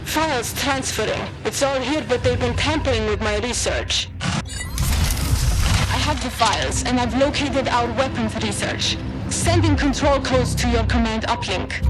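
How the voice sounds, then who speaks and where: Woman playing a high-voiced confident weapons researcher. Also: a little slow, over loud music, lightly acted, poor audio. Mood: brisk, proud. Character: confident female weapons researcher and field ally. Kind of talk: video game radio dialogue.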